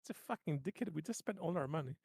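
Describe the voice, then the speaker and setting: Creaky-voiced man chatting over a tabletop game. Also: fairly high pitch, lively intonation, fast, darkish tone, no echo, studio quality. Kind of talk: casual chat.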